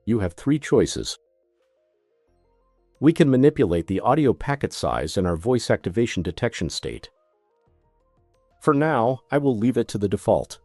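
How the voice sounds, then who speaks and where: Male tutorial narrator, methodical product demonstrator with animated intonation, recorded close and dry. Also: fairly low pitch, normal speed, long pauses, dry recording, soft background music. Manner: methodical, step-by-step instruction.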